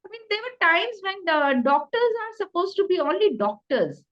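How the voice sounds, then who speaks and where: A woman's voice: very high-pitched, sing-song, normal speed, dull audio. A middle-aged woman with an Indian accent speaks conversationally as an interview guest, talkative and emphatic, often repeating phrases. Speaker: interview guest, career changer.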